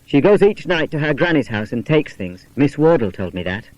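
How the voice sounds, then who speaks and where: A man voicing a keen, polite schoolboy who leads child detectives, with a British accent and brisk old-fashioned storybook speech. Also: a little high, sing-song, a little mellow, no echo, voice pushed up, poor audio. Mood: assertive.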